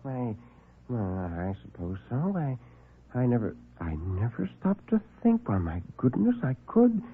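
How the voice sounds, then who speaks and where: A man's voice: medium pitch, very animated, unhurried, breathy edge, full character voice. A man playing a meek, flustered, kindly fellow who stammers and fusses, in a classic radio drama. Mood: flustered, delighted. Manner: stammering, fussy, breathless exclamations. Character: meek, flustered, kindly man devoted to his unseen friends. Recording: muffled vintage radio recording with music.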